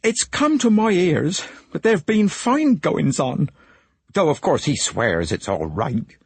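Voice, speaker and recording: A man voicing an anxious, fretful middle-aged wife in an audiobook, gossipy and quick to take offence. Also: fairly high pitch, sing-song, breathy edge, dry recording, voice pushed up, exaggerated voice. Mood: indignant. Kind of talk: audiobook character voice.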